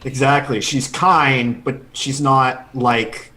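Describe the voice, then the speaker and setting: A man playing a tabletop role-playing game, describing his character in halting, thinking-aloud speech. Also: mid-range voice, fairly even intonation, sharp tone, slight background noise. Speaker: player. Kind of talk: casual tabletop role-play chat.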